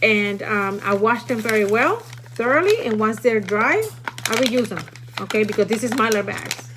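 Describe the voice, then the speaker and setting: A woman's voice: a little high, lively intonation, normal speed. A woman from Puerto Rico with a Puerto Rican accent, a native Spanish speaker, chatting and instructing casually as host of a livestream. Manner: chatty, instructive, often tags sentences with "okay?". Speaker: livestream host teaching food storage. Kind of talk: casual livestream chat and demonstration. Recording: noisy room, live stream.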